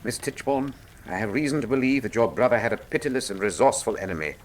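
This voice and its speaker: An actor playing a brilliant, cool Victorian detective, speaking formally and with dry wit in a radio drama. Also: male voice, medium pitch, lively intonation, fast talker, a little hiss or hum, close to an everyday voice. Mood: incisive, businesslike. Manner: precise, formal, dryly witty. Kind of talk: full-cast radio drama acting.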